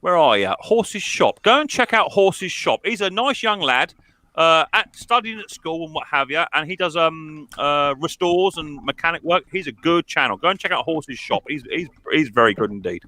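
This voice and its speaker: A man's voice: on the high side, animated, moderate pace, pushed and bright. An English man hosting a casual live-stream video chat, joking and teasing his guests while tripping over his own restarts. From England.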